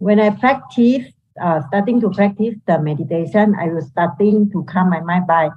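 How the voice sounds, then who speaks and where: A woman from Singapore asking a question as a meditation student, halting and searching for her words. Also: mid-range voice. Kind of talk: question in a live online session. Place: video call. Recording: dull video call audio.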